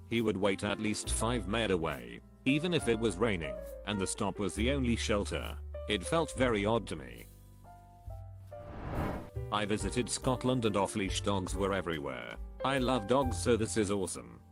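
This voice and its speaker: A man reading online posts aloud as scripted narration, in an even, flat delivery that spells out internet slang. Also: lowish voice. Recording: voice-over with clear background music. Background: music bed.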